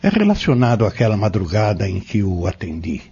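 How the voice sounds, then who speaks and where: An actor playing an earnest, patient spiritual mentor in an audio drama, courteous and explanatory. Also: male voice, fairly low pitch, wildly swooping pitch, fairly quick, creaky, dark tone, slightly rough, dull audio, heavily acted. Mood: courteous, serious. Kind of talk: audio drama dialogue, didactic.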